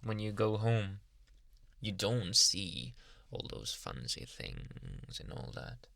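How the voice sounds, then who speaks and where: A man playing a possessive, softly menacing psychiatrist in an ASMR roleplay, coaxing in a hushed, breathy, deliberate voice. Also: dry recording, exaggerated voice. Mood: coaxing, insinuating. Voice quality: breathy, gravelly low voice.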